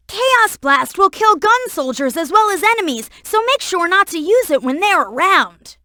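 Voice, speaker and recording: A woman voicing a bright, eager young cartoon fox boy sidekick in a video game, chirpy, earnest and encouraging. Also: extremely high pitch, no echo, studio quality, heavily acted. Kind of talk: video game character voice lines and tutorial hints.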